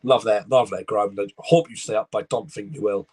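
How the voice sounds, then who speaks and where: A man's voice: on the low side, fairly even intonation, fairly quick, darkish tone, breathy edge. A young Welsh man hosting a live football watchalong, talking casually about the game and answering viewers' comments in a loose, rambling way. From Wales. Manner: rambling, chatty, reads viewer comments aloud. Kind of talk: live match reaction and chat reading.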